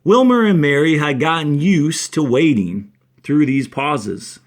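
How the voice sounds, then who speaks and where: A male schoolteacher reads aloud for young pupils. His delivery is slow and deliberate, with clear instructive pauses. The pitch moves expressively to lift key words. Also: animated. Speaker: fourth-grade teacher reading aloud to the class.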